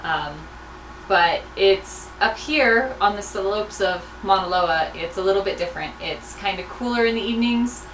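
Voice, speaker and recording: A woman's voice: medium pitch, normal speed. A woman giving casual, explanatory answers to questions as a research crew member. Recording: echoey room, faint background noise.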